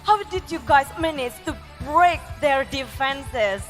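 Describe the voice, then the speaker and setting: High-pitched upbeat female co-host. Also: animated, a little slow, a bit bright, soft background music. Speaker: esports co-host. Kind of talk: post-match interview.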